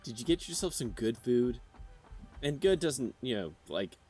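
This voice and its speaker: A man's voice: mid-range voice, very animated, unhurried, darkish tone, husky, soft background music. A man in his thirties streaming a game, chatting casually with his viewers and rambling with lots of fillers. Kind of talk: casual chat with chat while gaming.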